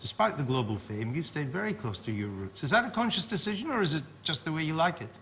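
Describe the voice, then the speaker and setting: Lively, bright-voiced male TV host. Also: fairly high pitch, fast talker, slight background noise. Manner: teasing, chatty questioning. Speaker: TV talk show host. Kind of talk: studio panel interview.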